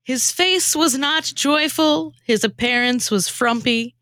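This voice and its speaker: A woman's voice: high voice, slow, pushed and bright, no room sound, studio quality. A woman performing scripted comic radio theatre, narrating in a theatrical storytelling manner. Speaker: former co-host and narrator.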